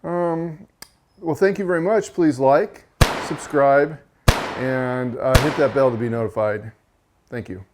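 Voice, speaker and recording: A man hosting his own channel, giving a casual, unhurried product review in a monotone voice, with frequent fillers and self-corrections. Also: mid-range voice, slight background noise. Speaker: rifle reviewer and channel host.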